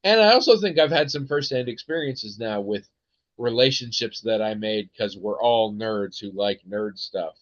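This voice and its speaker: An American man hosts a podcast in a loose, rambling way, stopping and restarting his sentences and filling pauses with ums. His voice sits on the low side, and the recording sounds dry and a little dull. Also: animated, fairly bright tone, dry recording. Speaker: podcast host. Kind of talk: casual chat.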